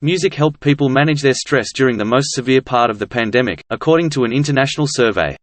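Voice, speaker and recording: A man with a mid-pitched voice reads a prepared informational text at a quick pace. The recording is very dry, free of room sound. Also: no room sound. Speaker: reader of practice passages. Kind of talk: scripted read-aloud.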